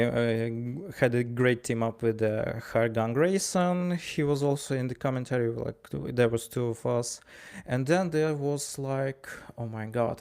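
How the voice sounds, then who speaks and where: Ukrainian guy w gravelly creaky voice, casual storytelling, trips over his words a lot, acts out convos. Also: medium pitch. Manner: halting, stammering over words, animated storyteller acting out dialogue. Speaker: wrestling ring announcer and commentator. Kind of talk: casual spoken anecdote.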